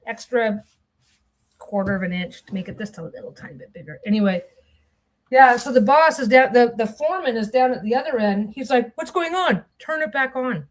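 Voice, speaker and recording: A woman in middle age chats on as she works at her sewing. Her voice sits a little high, and her telling wanders, full of restarts and little asides about the piece in her hands. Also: brisk. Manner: chatty, meandering storytelling with frequent restarts and self-interruptions. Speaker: vlogger sewing on camera. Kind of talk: rambling casual chat while sewing.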